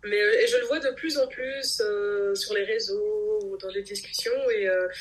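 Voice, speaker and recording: A woman from Belgium, talking casually as a podcast guest and telling her story in an animated, laughter-prone way. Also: mid-range voice, moderate pace, poor audio. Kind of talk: casual conversational interview.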